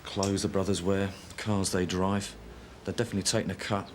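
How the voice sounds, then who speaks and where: Man playing a brisk British police sergeant. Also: sits low, flat delivery, slight background noise, natural voice. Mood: briefing. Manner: brisk, matter-of-fact. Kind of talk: TV police drama acting.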